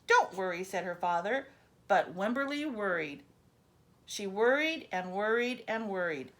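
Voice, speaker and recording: A woman's voice: medium pitch, unhurried. A woman reads a children's picture book expressively, breaking off for chatty asides to her young listeners. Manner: animated storyteller, chatty asides addressed to children.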